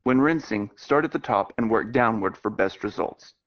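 An American man reading scripted instructional narration in a measured, explanatory way. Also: fairly low pitch, expressive. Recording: dull, lo-fi web video audio.

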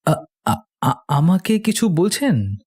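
A man's voice: high-pitched, sing-song, takes it slowly, darkish tone, husky, pitched above the performer's own voice, heavily acted. Man voicing a hesitant, uneasy city traveller. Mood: nervous.

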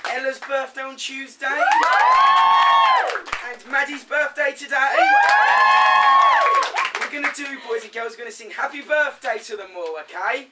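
Woman mc on stage, high animated voice, calling out loud, far from mic with a big noisy crowd. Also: normal speed, long pauses. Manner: loud, projected, urging. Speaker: pantomime master of ceremonies. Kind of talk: rallying a live audience from the stage.

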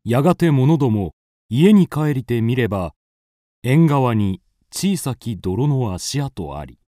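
A man's voice: mid-range voice, big pitch swings, slow, mellow, dry recording. A man narrating a folk tale in a solemn, classical storytelling manner.

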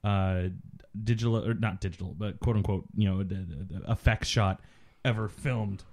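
Guy with a low voice doing a laid back movie commentary, joking around. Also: normal speed, darkish tone. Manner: chatty, jokey, trivia-minded. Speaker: podcast co-host. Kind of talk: casual film commentary.

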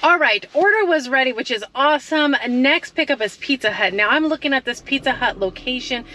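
A woman's voice: sits high, lively intonation, a little fast, noisy background. A woman in her thirties chatting casually to camera from her parked car at night, often asking her viewers questions. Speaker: delivery driver vlogger. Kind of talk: casual vlog talk to camera. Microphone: camera mounted on dashboard.

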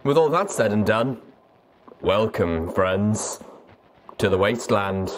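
A man's voice: on the low side, lively intonation, slow, slight background noise. A man hosting a show, delivering a scripted introduction in a theatrical radio-DJ manner. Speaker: podcast host.

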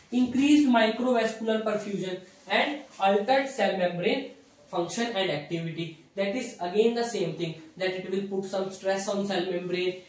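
Man, Indian accent, thirties, didactic lecturer, short repeated phrases, heavy room echo, distant microphone. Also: high-pitched. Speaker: physiotherapy lecturer. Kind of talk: whiteboard lecture. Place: small indoor classroom at a whiteboard.

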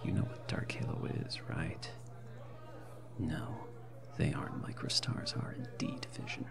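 A man playing a jaded, world-weary reclaimer in an ASMR roleplay, muttering in a guarded, boozy way with a breathy, whispery, creaky voice. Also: a bass voice, unhurried, heavily acted. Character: jaded, world-weary male reclaimer drowning his woes in drink. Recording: loud bar ambience music behind. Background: bar night ambience and music.

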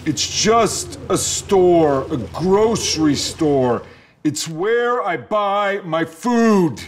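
A man's voice: sits high, expressive, drawn-out words, a little hiss or hum, pitched above the performer's own voice, full character voice. A man voicing an indignant, fussy alligator who protests in exasperation, in a storybook reading. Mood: defensive. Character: indignant, fussy male alligator fed up with the narrator. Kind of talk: storybook character voice.

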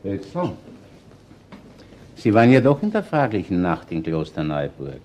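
An actor playing a calm, shrewd middle-aged police chief inspector with an Austrian accent, speaking in a measured, persuasive way in a radio play. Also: male voice, deep voice, a little hiss or hum. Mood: probing. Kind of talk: radio play acting, natural dialogue. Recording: dull band-limited old radio recording.

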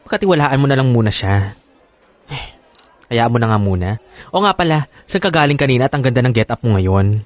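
A man's voice: mid-range voice, very animated, brisk, long pauses, lightly acted. Guy doing a campy pinoy best friend, sassy and teasing, voice jumps all over. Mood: supportive. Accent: Filipino. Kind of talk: Filipino radio drama acting.

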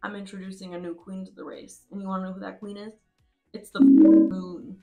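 A woman's voice: medium pitch, little pitch movement, brisk, phone-quality sound. Playful woman commentating over music. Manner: playful, slangy, announces results like a pageant host. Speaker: host of a simulated reality competition. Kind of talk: casual reaction commentary.

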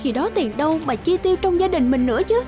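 A discontented young career wife in a cartoon drama. Her voice is high and she talks in a curt, complaining way over background music. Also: expressive, fast talker. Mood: irritated. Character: discontented, ambitious young wife and office manager.

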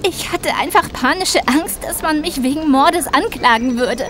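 A woman's voice: sits high, normal speed, a crowd in the background, natural voice. An actress playing an anxious, devoted young female carer, earnest and hesitant, in an audio drama. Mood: distraught. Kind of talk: German audio drama acting. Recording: noisy ambience behind.

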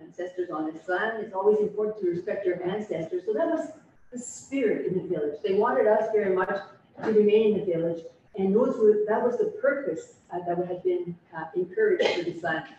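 A woman chairing a council meeting, giving semi-formal remarks in a deliberate, halting, reflective way through a distant conference microphone. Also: medium pitch, mellow. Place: large indoor council meeting room. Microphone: distant conference camera microphone on video call. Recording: distant, echoey room, dull sound, faint noise.

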